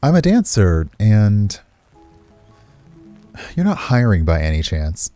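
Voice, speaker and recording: A man's voice: lowish voice, sing-song, slow, dark tone, faint music behind. A man voicing an eager, questioning and persistent young woman dancer in a visual novel. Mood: curious, hopeful.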